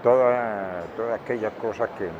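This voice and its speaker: An older man with a Colombian accent answering interview questions in a deliberate, emphatic way, often repeating words for weight. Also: fairly low pitch, steady tone. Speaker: interviewee, veteran radio director and journalist. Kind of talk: reflective interview talk. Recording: dull lo-fi, clear outdoor traffic noise.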